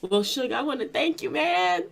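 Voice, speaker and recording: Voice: high-pitched, animated, creaky, a bit bright. An interview host with a stuffy nose, affirming the guest often and chatting casually. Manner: friendly, affirming, quick to laugh.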